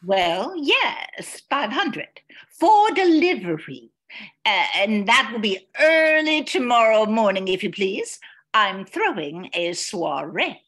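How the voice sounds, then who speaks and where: A woman acts out a flamboyant society lady in a 1940s-style radio play. She speaks slowly in a fairly high voice with sweeping pitch, grand and imperiously polite. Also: big pitch swings, fairly bright tone, exaggerated voice. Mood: grand, breezy. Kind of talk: 1940s radio drama acting.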